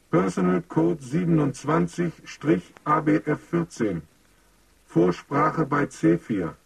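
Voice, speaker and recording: A man's voice: fairly high pitch, creaky, husky, dry recording, distant mic, exaggerated voice, rough recording. An actor playing a formal male computer assistant in a radio drama, reading out announcements in an impersonal, clipped, officious manner.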